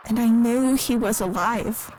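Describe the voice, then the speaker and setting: An actress playing a timid, lonely, naive museum worker in an audio drama, speaking hesitantly and earnestly in a recorded interview. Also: female voice, a little high, measured pace, close to an everyday voice, rough recording. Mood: hushed, recounting. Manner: hesitant, soft-spoken, earnest. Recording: clearly audible background noise.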